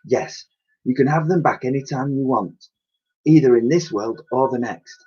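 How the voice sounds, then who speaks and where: A man voicing a plucky, practical young girl in a children's storybook, brisk and bold as if giving instructions. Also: medium pitch, dark tone, husky, highs cut off, close to an everyday voice.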